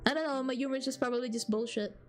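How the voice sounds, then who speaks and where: A young Filipino woman with a high, bright-pitched voice and a Filipino accent, talking casually to her live chat. She rambles, teases, and giggles easily, while soft music plays quietly behind her. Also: fairly even intonation, very fast. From Philippines. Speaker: streamer.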